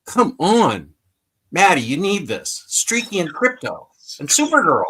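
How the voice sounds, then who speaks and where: A man on a comics podcast panel, slowly walking through a book's contents with enthusiasm and frequent fillers. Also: sits high, wildly swooping pitch, pushed and bright, breathy edge. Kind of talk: casual chat, listing book contents.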